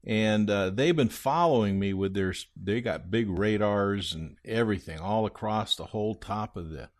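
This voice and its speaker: Santa Claus , played by a male actor: older, kindly, easygoing, low voice, unhurried folksy rambler for a children's podcast. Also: close to an everyday voice. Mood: chatty, reassuring. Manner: rambling, hesitant, folksy storytelling. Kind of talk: improvised in-character answers on a children's radio-style podcast.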